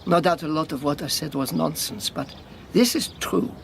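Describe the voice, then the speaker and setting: An actor in a radio drama playing a sardonic, battle-worn European refugee with a lame leg, speaking haltingly with mocking formality. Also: male voice, a little high, gravelly, slight background noise. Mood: confiding, wry.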